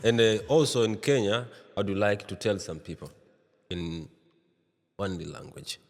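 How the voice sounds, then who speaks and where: A man hosting an interview, speaking haltingly with many short agreements and repeated words. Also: on the low side, lively intonation, vocal fry. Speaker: interview host. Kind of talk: casual interview.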